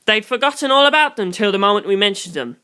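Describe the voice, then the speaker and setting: A reader voicing an excitable, blunt male investigator who warns a friend in emphatic, profane slang. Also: mid-range voice, fast talker, clean tone, voice pushed up. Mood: urgent.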